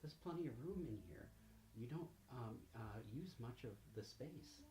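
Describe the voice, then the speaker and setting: A man speaking casually and haltingly as the game master of a tabletop game. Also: medium pitch, expressive, noisy background.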